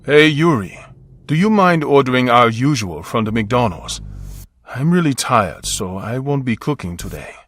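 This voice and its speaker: An actor playing a tired, protective widowed father who speaks in a calm, measured way. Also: medium pitch, breathy edge, no room sound. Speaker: narrator's father. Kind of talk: story narration dialogue.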